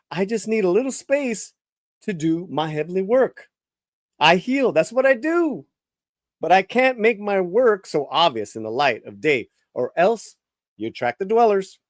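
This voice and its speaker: A man performs a first-person novel aloud with a somewhat high, very animated voice that swoops up and down. His reading is theatrical, dropping to a hush and then punching words, with frequent stumbles and restarts. The sound is dry and close. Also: no room sound. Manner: theatrical, swinging between hushed and emphatic with frequent restarts. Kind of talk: dramatic live reading of first-person fiction.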